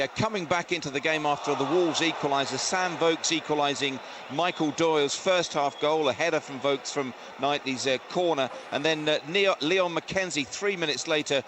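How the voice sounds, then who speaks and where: A man gives a halting live match update in a slightly high voice. Outdoor stadium noise is clearly audible behind him. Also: a bit bright. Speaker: football match reporter.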